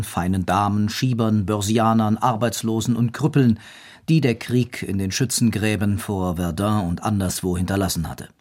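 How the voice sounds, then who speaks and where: A man's voice: on the low side, a little mellow. A man reading scripted documentary narration as the narrator of a radio history feature.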